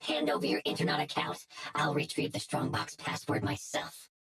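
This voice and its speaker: Low, gravelly menacing villain hacker. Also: female voice, monotone, moderate pace, a bit bright, full character voice. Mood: angry, demanding. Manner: taunting, commanding, threatening. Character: menacing mystery female hacker, smug, demanding blackmailer. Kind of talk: English video game voice acting.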